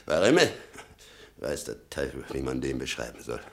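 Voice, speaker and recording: An actor playing an embittered old father in a German radio drama, gravelly, muttering and brooding with sudden outbursts. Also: rumbling low, very animated, normal speed, exaggerated voice. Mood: scornful. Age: older. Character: embittered old father obsessed with his convicted son.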